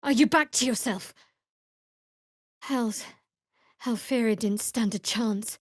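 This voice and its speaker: A guarded, sardonic cleric, higher-pitched and dry. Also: female voice, dry recording. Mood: guarded, composed. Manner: dry, wry, measured delivery. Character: guarded, sardonic young woman cleric devoted to a dark goddess. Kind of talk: video game character voice lines.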